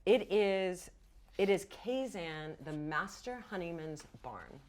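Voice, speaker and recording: A woman's voice: fairly low pitch, expressive, slow pace, a little mellow. A woman game master narrating a fantasy tabletop roleplaying game in a playful, theatrical storytelling manner. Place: indoor studio set.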